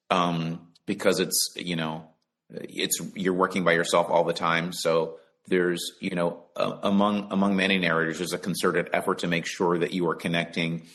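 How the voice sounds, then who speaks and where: A man from the Bahamas, an audiobook narrator and actor, talking about his work in a relaxed interview over a video call, with thoughtful pauses. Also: low voice.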